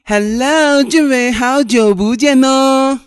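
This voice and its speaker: A man's voice: very high-pitched, slow, clean tone, dry recording. A young man plays a camp, fiercely loyal male best friend in a radio drama. He is sassy and theatrical, with an effeminate delivery in a dry recording. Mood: teasing, playful.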